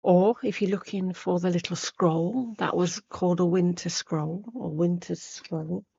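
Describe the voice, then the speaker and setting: A woman hosts a casual craft demonstration in a croaky, cold-roughened voice full of gravelly fry. She takes her time, rambling and thinking aloud as she works. The sound is a little dull. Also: fairly low pitch, fairly even intonation, a little slow, mellow. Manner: unscripted, rambling, thinking aloud while working. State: croaky, hoarse after a cold. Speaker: craft tutorial host. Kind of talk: casual chatty needlework demonstration.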